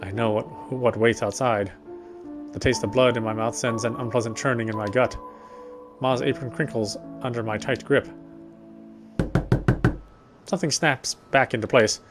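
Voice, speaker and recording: A man reading a horror game story aloud, with expressive, lingering narration. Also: mid-range voice, moderate pace, long pauses, over music. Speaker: let's player reading a visual novel aloud.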